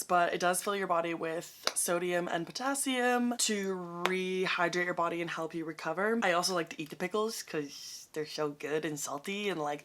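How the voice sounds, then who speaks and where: A young woman with a raspy voice, chatting through a how-to vlog in an upbeat, self-mocking way. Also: lowish voice, fairly bright tone. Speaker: vlogger.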